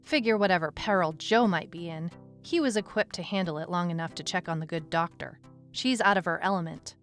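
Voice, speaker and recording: A woman's voice: on the low side, brisk, natural voice. A woman playing a wry, anxious detective who narrates her own case in a noir-like audio drama. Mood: wry, reflective. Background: music.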